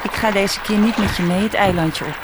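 An actress playing a hurt, reproachful sister who stays at home, clipped in a Dutch radio play. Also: female voice, medium pitch, brisk, noisy background, natural voice. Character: adult sister, bitter and hurt homebody. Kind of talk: radio drama acting.

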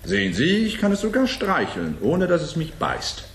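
An actor playing a doubtful, placating and faintly mocking scientist in a 1980s German radio play, with an expressive, questioning delivery. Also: male voice, sits high, normal speed, noisy background, full character voice.

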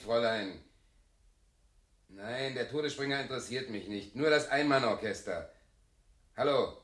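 Impatient, highly animated circus visitor. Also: male voice. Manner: insistent, demanding. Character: impatient, irritable male press visitor at a circus. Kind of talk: radio play acting. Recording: roomy sound, faint crowd.

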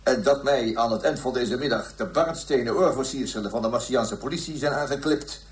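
An actor playing a pompous, evasive police inspector who talks in stiff officialese in a radio play. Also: male voice, medium pitch, a little fast, lightly acted. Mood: self-satisfied. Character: pompous, self-important male police inspector giving an interview. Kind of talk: radio drama acting. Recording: roomy, echoing radio play recording.

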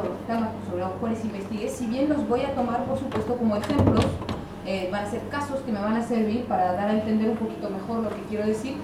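A woman from France giving a university lecture in Spanish with a mixed French, Spanish and Buenos Aires accent, hesitant with many fillers and wry asides. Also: fairly high pitch. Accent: mixed French-Spanish with a touch of Buenos Aires. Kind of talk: academic lecture to an audience. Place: large lecture hall. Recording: echoey hall from far off, audible room noise.

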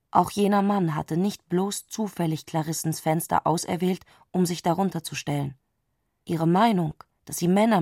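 A woman narrates a literary radio play. Her voice sits on the low side for a woman. The recording is dry, with no room around the words, and she reads as a scripted storyteller. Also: gravelly, a little mellow, no room sound.

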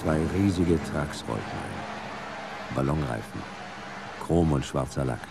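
An actor playing a laconic private detective who narrates a noir radio play in clipped, deadpan fragments, wry and weary. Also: male voice, a bass voice, slow, gravelly, noisy background, exaggerated voice. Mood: tense, wry. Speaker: narrator and lead character. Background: music and vehicle sound effects.

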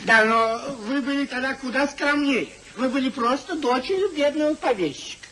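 An elderly gentleman husband in a 1950s radio comedy. His voice is very high and sharp, fussy and exasperated. He declaims indignantly, stretching his exclamations in an old theatrical manner. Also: animated, a little hiss or hum, full character voice, rough recording. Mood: indignant, scolding. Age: older. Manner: indignant, emphatic, drawn-out exclamations. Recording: 1950s radio drama recording.

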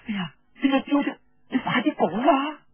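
A man's voice: very high voice, expressive, moderate pace, dry recording, lo-fi sound, faint music behind, voice pushed up. A man voicing an impetuous, fretful young woman in oral storytelling, with a Cantonese accent and an urgent, exclaiming manner. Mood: impatient.

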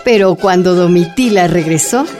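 A woman's voice: fairly low pitch, moderate pace, close to an everyday voice. A woman performing scripted narration for a radio drama, with music behind her. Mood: measured, narrating. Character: woman narrator telling a miner woman's history. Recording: music under the voice.

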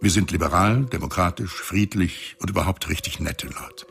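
A very low, creaky male voice for a glib reanimator in a future space colony. He explains things in a wry, flippant, chatty way, in the manner of a science fiction radio play. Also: normal speed, a bit bright. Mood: proud, explaining. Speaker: actor in a radio drama.

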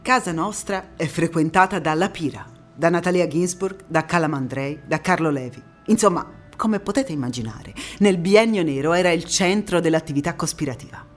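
An actress playing a passionate young Italian antifascist woman, recounting her life in a theatrical monologue with dramatic pauses and emphatic repetitions. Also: fairly low pitch, soft background music, lightly acted. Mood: measured recounting. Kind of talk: theatrical stage monologue, first-person storytelling.

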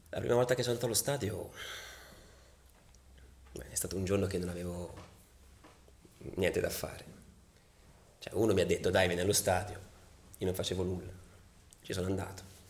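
A man acting a fervent football ultra in a theatrical monologue, telling his story in an intense, deliberate way with repeated phrases. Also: sits low, a little hiss or hum. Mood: reflective, emphatic. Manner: intense, deliberate, repeats phrases, swings between hushed and shouted. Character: fervent male football ultra recounting riots and away trips. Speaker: stage actor in a radio theatre piece. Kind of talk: dramatic first-person theatrical monologue.

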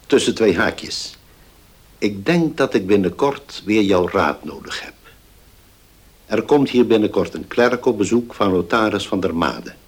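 An actor in a radio play voicing an elderly uncle who is fond and shrewd, with a husky voice. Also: male voice, lowish voice, animated, unhurried. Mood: fond, confiding. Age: older.

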